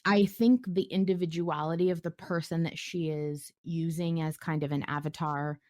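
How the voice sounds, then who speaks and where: Lowish-voiced woman hosting, dry close sound. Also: fairly even intonation, moderate pace, darkish tone, no room sound. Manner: playful, wry, conversational. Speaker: podcast host. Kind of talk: casual podcast banter and discussion.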